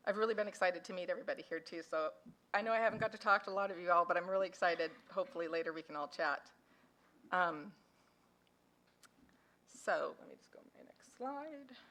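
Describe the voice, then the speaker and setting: A woman's voice: on the low side, fairly bright tone, poor audio. Woman, parent and foundation founder, informal conference talk, chatty and self-deprecating, on a podium mic. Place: conference hall. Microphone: handheld or podium microphone.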